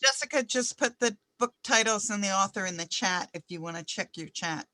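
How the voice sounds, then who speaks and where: A woman's voice: mid-range voice, lively intonation, a little fast, pushed and bright. A woman making casual remarks on a video call. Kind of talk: casual interjections.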